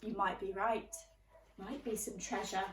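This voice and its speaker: A woman reading a children's picture book aloud expressively, pausing to ask her young listeners questions. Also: medium pitch, steady tone, a bit bright, recorded from a distance, slight background noise. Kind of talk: animated children's story reading. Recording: roomy, echoey, away from the mic.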